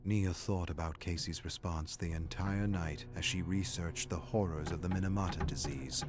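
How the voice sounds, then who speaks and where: A man reading a scripted story as a dramatic narrator, grave and deliberate. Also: low-pitched, steady tone. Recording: music playing behind the narration. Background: background music.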